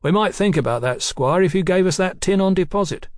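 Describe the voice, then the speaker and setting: A sly private detective from a storybook acted out by a male narrator. He needles his betters in cheeky street slang, pitched high and full of insolence. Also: lively intonation, fairly quick, no echo, clean studio sound. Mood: grudging, sly.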